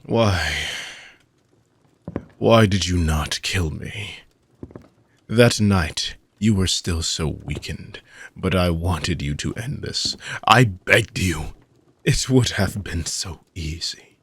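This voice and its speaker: A man playing an ancient, weary dark mage villain in a fantasy audio roleplay, husky and gravelly, speaking theatrically with long dramatic pauses. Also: low-pitched, expressive, slight background noise, heavily acted. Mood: anguished, accusing. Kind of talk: fantasy audio roleplay monologue addressed to listener.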